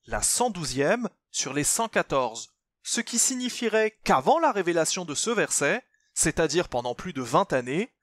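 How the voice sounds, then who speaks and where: A man's voice: high voice, a bit bright, no room sound. A man giving a scripted, step-by-step explanation as a presenter, didactic and emphatic, speaking directly to the listener. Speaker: presenter of a religious commentary channel. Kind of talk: scripted explanatory narration, step-by-step textual analysis.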